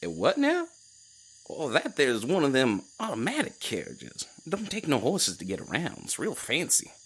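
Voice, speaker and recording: A man voicing a small, excitable frog-like druid in an audio drama. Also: mid-range voice, moderate pace, breathy edge, heavily acted, low-quality recording. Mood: eager, folksy. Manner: folksy, colloquial, animated.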